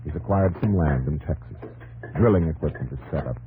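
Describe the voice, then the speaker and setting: A man's voice: extremely low pitch, brisk, narrow, tinny audio, heavy background noise. A man giving dramatic scripted 1950s radio narration in emphatic, clipped, theatrical phrases on an old broadcast. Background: loud dramatic music.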